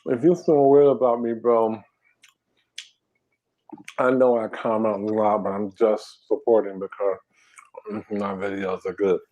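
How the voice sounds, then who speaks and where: A man hosting a casual eating stream, talking softly and half-whispering in a relaxed, drowsy way while reading comments. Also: on the low side, measured pace, pauses often, rough recording. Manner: soft, quiet, half-whispered talk. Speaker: ASMR mukbang channel host.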